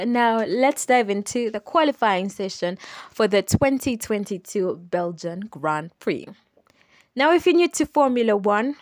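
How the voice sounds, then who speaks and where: A young adult woman with a Ugandan accent, hosting a solo podcast and explaining things in an unhurried way with some hesitant fillers. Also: mid-range voice, no room sound. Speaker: podcast host.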